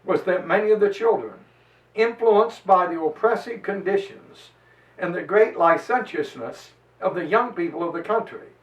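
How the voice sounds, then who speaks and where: A man giving a solemn, declamatory dramatized reading of an old historical text, with emphatic pauses. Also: sits high, muffled recording. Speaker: preacher reading a history aloud. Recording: echoey room, far from the mic.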